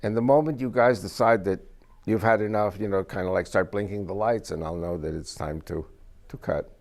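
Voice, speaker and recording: Man, older, Spanish as first language, rambling, jokey, fairly low-pitched. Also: fairly quick, vocal fry, darkish tone. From United States. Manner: joking, rambling storyteller. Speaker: guest speaker. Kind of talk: informal talk to a small group.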